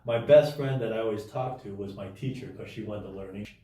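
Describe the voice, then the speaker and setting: A man telling his life story as an interview guest in a casual, halting way, with many restarts and fillers. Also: fairly low pitch, mellow, very echoey, recorded from a distance.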